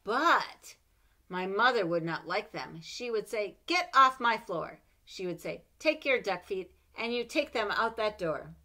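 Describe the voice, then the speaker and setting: Expressive woman reading a picture book. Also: medium pitch, a little slow. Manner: expressive, animated read-aloud with playful asides. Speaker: storybook reader. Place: home room with bookshelves. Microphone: webcam at desk.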